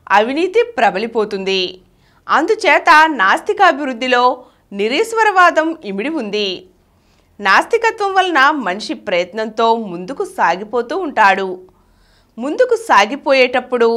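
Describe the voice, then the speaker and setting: Animated, high-voiced woman reading an essay. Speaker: audiobook reader.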